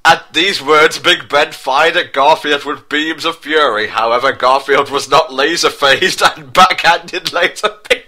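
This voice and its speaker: A man's voice: a little high, unhurried, hardly any pauses, pushed and bright. A man reading a comic story in mock-dramatic style, theatrically stressing clumsy wording. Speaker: narrator of comedic dramatic fan-fiction reading.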